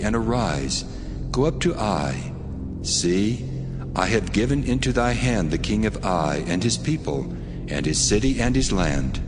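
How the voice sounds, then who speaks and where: Reverberant, low-voiced commanding God. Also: male voice. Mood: solemn command. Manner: authoritative, measured, solemn. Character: the Lord God, commanding stern male deity. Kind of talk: dramatized audio Bible acting. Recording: long reverberant hall effect, music behind.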